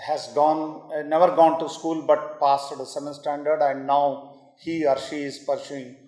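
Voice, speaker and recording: A man with an Indian English accent speaks in a fairly high voice, earnest and halting, pausing on fillers before he presses into emphatic lists. He is a native Kannada speaker. The room gives his voice a roomy echo. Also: little pitch movement, darkish tone. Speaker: speaker giving a talk. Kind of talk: public talk.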